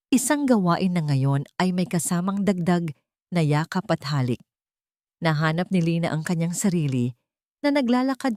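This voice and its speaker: A woman's voice: fairly low pitch, dark tone, dry recording, clean studio sound. A woman storyteller reading a scripted story as dramatic narration.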